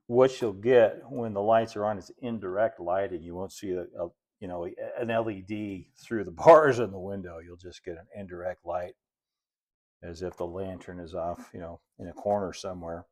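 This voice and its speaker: A middle-aged American man giving a casual hands-on tutorial, unhurried and folksy, thinking aloud as he works. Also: deep voice, a little mellow. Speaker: host, model railroad builder. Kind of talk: casual hands-on tutorial talk while working. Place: indoor hobby workshop at a cluttered workbench and layout.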